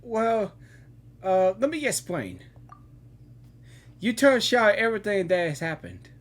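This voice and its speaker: Slow, expressive woman reacting to a game. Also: medium pitch. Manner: giggly, informal, exclamatory. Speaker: gaming reaction streamer. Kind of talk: casual live reaction commentary over a game.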